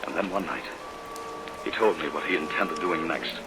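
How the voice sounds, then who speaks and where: A man's voice: rumbling low, moderate pace, over loud music. A man acting in a vintage radio drama as an earnest research assistant who narrates his own story dramatically. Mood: grave narration. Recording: vintage radio recording, loud rain noise.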